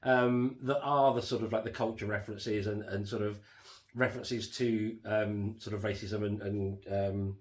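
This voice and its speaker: A man co-hosting a casual home podcast, rambling with lots of fillers and restarts, his voice going hoarse. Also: lowish voice, fairly even intonation, normal speed, hardly any pauses. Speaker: podcast co-host reviewing a TV series. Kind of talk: casual chat.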